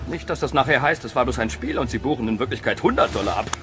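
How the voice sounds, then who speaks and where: An actor playing a cocky young hotel guest in a German audio drama, sarcastic and flippant. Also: male voice, mid-range voice, expressive, fast talker, a bit bright, a little hiss or hum, close to an everyday voice. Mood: sarcastic, mocking.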